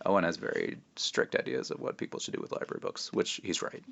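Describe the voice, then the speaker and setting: Male author-reader for a children's novel, lowish voice, expressive and wry, drops in offhand asides. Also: fast talker, creaky, muffled recording. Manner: expressive, self-interrupting, wry. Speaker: author reading aloud. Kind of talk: animated children's book read-aloud with casual asides.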